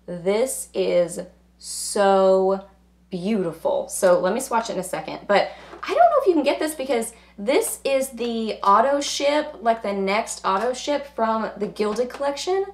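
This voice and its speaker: A woman, hoarse from losing her voice, chatting casually through a makeup review and rambling with lots of filler words. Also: on the high side, expressive, a little slow. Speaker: beauty vlogger.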